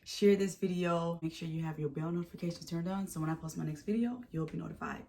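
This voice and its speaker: A woman in her thirties with a raspy voice from a head cold, casually telling a storytime vlog with animated retellings. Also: fairly low pitch, fast, slight background noise. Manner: chatty, animated storytelling with acted-out quotes. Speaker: vlogger.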